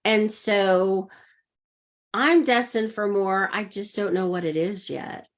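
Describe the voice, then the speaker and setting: A woman's voice: medium pitch, slow pace. A middle-aged woman, a leadership coach, telling personal stories as a guest in an earnest, upbeat way and laughing often. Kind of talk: personal storytelling in conversation.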